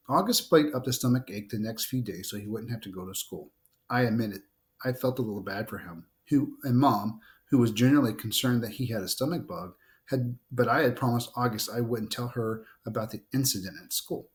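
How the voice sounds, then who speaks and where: A man's voice: medium pitch, brisk. A man reading a children's novel aloud expressively, now and then stumbling and going back over a phrase. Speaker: book reader.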